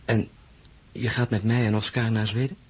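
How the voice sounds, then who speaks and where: An actor playing a proud, insistent and tender husband, a marshal turned crown prince, coaxing deliberately in an audio drama. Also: male voice, on the low side, level tone. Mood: earnest, insistent. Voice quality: husky. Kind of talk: Dutch audio drama acting.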